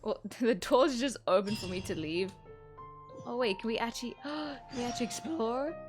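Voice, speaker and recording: A woman's voice: a little high, moderate pace, soft background music. A woman giving casual, laid-back commentary while playing a video game. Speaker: gaming streamer.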